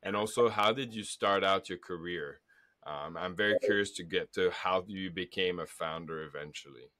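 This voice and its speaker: A man's voice: low-pitched, normal speed, pushed and bright. A man hosting a podcast who chats casually, giving short affirmations and asking curious questions, wearing headphones in a home studio. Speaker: podcast host. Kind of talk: casual interview. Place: home studio with neon sign. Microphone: headset and studio mic, video call.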